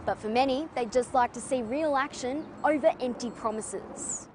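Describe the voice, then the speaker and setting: A woman's voice: high-pitched, moderate pace, a little hiss or hum. A woman narrating a scripted news report.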